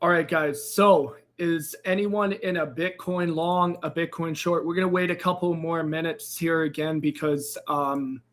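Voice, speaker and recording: A man hosting a casual live trading stream, upbeat and greeting viewers by name, his voice a bit raspy and hoarse after losing it. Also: fairly high pitch. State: slightly hoarse, recovering from a lost voice. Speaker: crypto trading livestream host. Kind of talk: casual livestream chat.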